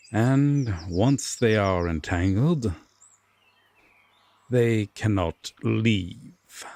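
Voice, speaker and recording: A man playing a haughty, dark fae king in a fantasy audio roleplay, speaking with deliberate, commanding pauses and a breathy, condescending tone. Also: on the low side, no echo, heavily acted. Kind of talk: slow, dramatic roleplay audio acting.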